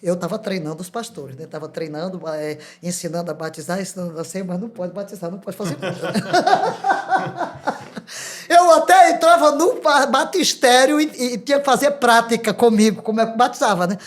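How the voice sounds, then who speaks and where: An older woman from Brazil with a Brazilian Portuguese accent, a pastor telling her life story as a podcast guest, lively and laughing often. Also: medium pitch, moderate pace. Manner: animated, laughs often, acts out quoted dialogue. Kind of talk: testimony storytelling.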